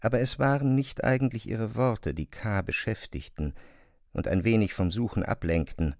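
A man narrating a literary radio drama with measured, descriptive storytelling. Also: on the low side, creaky, a little mellow, dry recording, studio quality.

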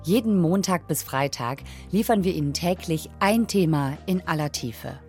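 A woman's voice: lowish voice, lively intonation, moderate pace, a little mellow. A woman presenting a scripted promo as a news podcast host, in a polished delivery. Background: light music bed.

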